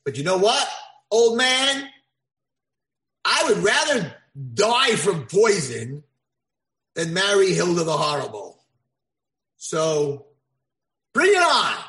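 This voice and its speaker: Guy putting on a desperate young prince, squeaky and shouty, lots of swoops, echoey room. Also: very animated, takes it slowly, full character voice. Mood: defiant. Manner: shrill pleading and shouting. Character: young male prince turned kitchen slave, desperate and defiant.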